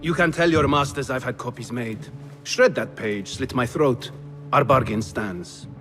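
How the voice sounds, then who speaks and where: An actor playing a shrewd, proud middle-aged male governor in a video game, deliberate, dry and haughty. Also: mid-range voice, natural voice. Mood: defiant, dismissive. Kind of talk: cinematic video game acting. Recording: music behind the voice. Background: music.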